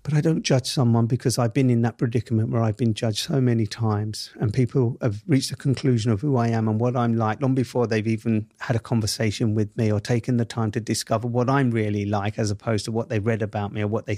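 A middle-aged man speaking in a South East London accent, unhurried and emphatic. He leans on key words with deliberate stresses. The recording is close and dry, with no room around him. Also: medium pitch, steady tone, warm tone, no echo. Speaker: interview guest. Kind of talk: reflective interview answers.

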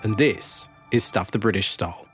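A man's voice: lowish voice, normal speed, over loud music. A man hosting and narrating a documentary in a wry, self-deprecating way. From Australia. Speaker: host and reporter.

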